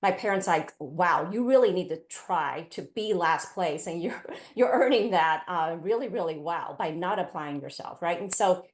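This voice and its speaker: Low-voiced woman telling her story, echoey room. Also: lively intonation, moderate pace. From Taiwan, then California from age eight. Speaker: parent panelist. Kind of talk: personal storytelling on a panel. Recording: roomy, echoey event space.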